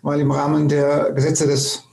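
A man's voice: fairly high pitch, completely flat delivery, normal speed, vocal fry, a little mellow, roomy sound, slight background noise. A man explaining spiritual ideas earnestly in conversation, often restarting his sentences. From Germany. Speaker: co-host.

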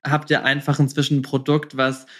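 A man's voice: mid-range voice, monotone, brisk, a bit bright. A man hosting a podcast interview in a casual, informal way, mixing in English start-up jargon.

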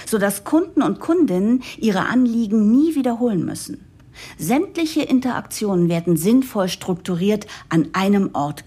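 A woman's voice: mid-range voice, dark tone, breathy edge. An actress playing an earnest, composed woman who narrates an office audio drama in a formal, diplomatic way. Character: earnest, composed woman narrator championing change, jargon-heavy.

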